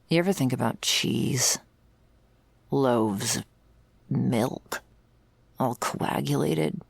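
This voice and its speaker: A woman playing a jaded, sardonic servant of vampires in an intimate ASMR roleplay, husky and deadpan, close to the microphone. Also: a bass voice, dry recording, heavily acted. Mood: sardonic, disgusted. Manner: dry, deadpan, sarcastic, foul-mouthed. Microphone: close, dry microphone.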